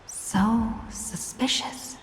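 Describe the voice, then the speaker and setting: A suspicious young heroine thinking to herself in a video game. The voice is slow and quietly watchful, washed in long reverb with faint music behind it. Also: female voice. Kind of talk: video game inner monologue.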